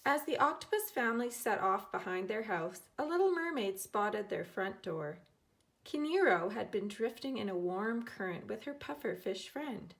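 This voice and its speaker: Expressive woman reading a storybook, very lively pitch. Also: normal speed. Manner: expressive, dramatizing characters' lines. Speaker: teacher reading aloud. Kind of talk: storybook read-aloud for children.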